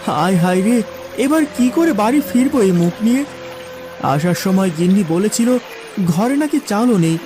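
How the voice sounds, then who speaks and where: A man's voice: high-pitched, animated, moderate pace. A man playing a poor, kind-hearted boatman in a cartoon, earnest and plaintive. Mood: despairing, lamenting. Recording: music behind the voice.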